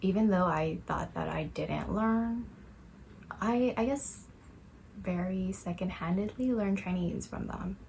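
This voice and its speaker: A woman's voice: lowish voice, unhurried, gravelly, darkish tone, low-quality recording. A young woman co-hosting a casual podcast chat. She has a mixed Malaysian-Taiwanese accent and tells stories with lots of fillers and laughter. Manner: laughing storyteller, chatty with many fillers. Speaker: podcast co-host.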